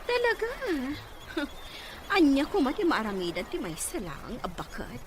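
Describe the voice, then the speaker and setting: High, animated, scheming Filipina girlfriend. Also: normal speed. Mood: sly. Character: young scheming girlfriend of a rich man. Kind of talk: Filipino radio drama acting. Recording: noisy radio drama with ambient sound.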